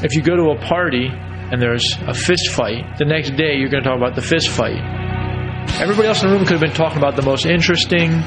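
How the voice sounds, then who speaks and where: A man's voice: on the high side, quick pace, music in the background, poor audio. A man explaining his view in an interview, articulate and wordy. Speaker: documentary interviewee. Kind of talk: reflective interview talk.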